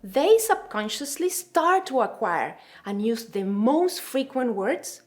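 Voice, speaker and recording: A woman's voice: a little high, very animated, takes it slowly, a little mellow, faint music behind. Earnest Spanish-accented woman teacher. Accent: Spanish-speaking. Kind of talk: scripted promotional talk.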